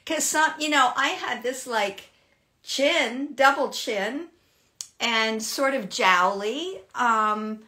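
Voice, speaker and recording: Brief: woman, 60s, fitness host, bright and fairly high, chatty and animated, home webcam. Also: takes it slowly. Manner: chatty, animated, laughs often. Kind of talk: casual live Q&A. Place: home room with shelves, indoors.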